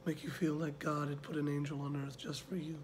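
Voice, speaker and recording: A man performing a dramatic monologue as a weary, wounded older mentor, speaking in a measured way with long pauses and hushed phrase endings. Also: on the high side, level tone, a little hiss or hum, lightly acted. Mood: tender, aching. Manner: measured, pausing, drops to near whisper. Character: weary older male mentor, tender, wounded, quietly confronting. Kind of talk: slow dramatic film monologue audition.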